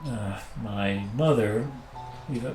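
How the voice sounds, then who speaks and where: A man playing an earnest, easily rattled pilot in an audio drama, halting and indignant in his delivery. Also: on the low side, echoey room, music in the background. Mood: uneasy, resigned. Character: defensive, flustered, earnest male pilot. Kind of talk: naturalistic audio drama dialogue.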